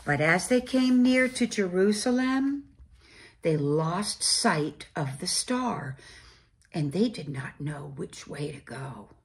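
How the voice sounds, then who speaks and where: Slow-paced woman reading a children's story. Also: on the low side, expressive. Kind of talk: read-aloud children's storybook narration.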